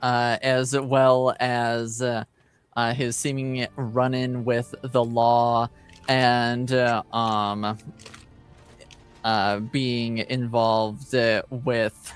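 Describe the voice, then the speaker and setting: A man running a tabletop roleplaying game, narrating off the cuff and haltingly as he searches for words. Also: mid-range voice, flat delivery, clear, resonant tone, soft background music. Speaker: game master.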